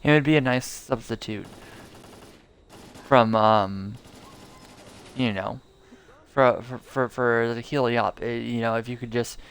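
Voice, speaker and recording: Young guy streaming, rambling w lots of ums, gravelly but smooth voice. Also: mid-range voice, fairly even intonation, unhurried, long pauses. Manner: rambling, many fillers and restarts. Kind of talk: casual live gameplay commentary.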